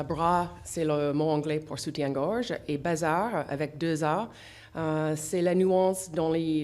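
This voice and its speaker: Radio guest: middle-aged woman, English accent, very low voice, hesitant, lots of fillers. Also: a little mellow. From English-speaking country. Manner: halting, many fillers and restarts. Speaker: association founder, guest. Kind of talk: radio interview. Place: radio studio.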